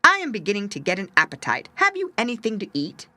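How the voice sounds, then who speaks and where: A fat, gluttonous tomcat from a storybook, played by a woman storyteller, boasts and threatens with relish. The words are stretched out and swing widely in pitch, with a bright, forceful edge. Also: wildly swooping pitch, no echo. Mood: hungry, demanding. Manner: boastful, drawn-out, menacing. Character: mean, greedy, boastful male cat, fat and gluttonous.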